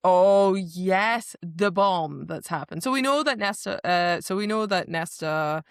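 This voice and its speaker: A woman with a slightly low voice chats casually as a podcast co-host. She retells book scenes conversationally and slips into little quoted exchanges. The sound is close and dry. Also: measured pace, clean tone, no room sound. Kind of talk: casual book discussion chat.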